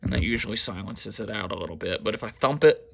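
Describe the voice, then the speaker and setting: A man with a creaky voice giving a casual, halting off-the-cuff show-and-tell as a hobbyist, half talking to himself. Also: on the low side, animated. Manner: halting, muttering to himself while handling gear. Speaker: hobbyist collector presenting weather radios. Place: indoors, small cluttered room at home. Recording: faint background noise, clicks and handling sounds.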